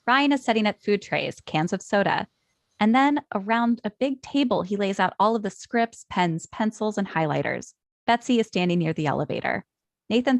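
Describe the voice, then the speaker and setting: A woman's voice: mid-range voice, no echo. A woman reading scripted stage directions as narrator at a table read.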